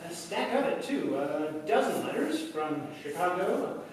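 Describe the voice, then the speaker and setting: A man's voice: high voice, big pitch swings, unhurried, recorded from a distance. Man acting as folksy postman in a roomy hall. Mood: mild, puzzled. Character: mild, obliging small-town postman and father, slightly hesitant. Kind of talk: 1940s radio drama acting on stage. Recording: roomy stage recording from afar, faint noise.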